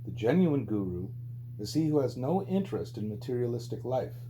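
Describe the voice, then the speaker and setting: A man reading a spiritual book aloud as scripted audiobook narration, with a mellow voice. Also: very animated, brisk. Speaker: audiobook reader. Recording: low hum and background noise.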